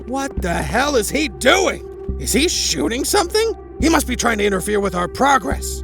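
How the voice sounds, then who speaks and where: A man's voice: very high voice, sing-song, normal speed, gravelly, music in the background, heavily acted. A man playing a cocky, brash horse racer and mentor in a dramatic anime fandub, with a theatrical, emphatic delivery. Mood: irritated.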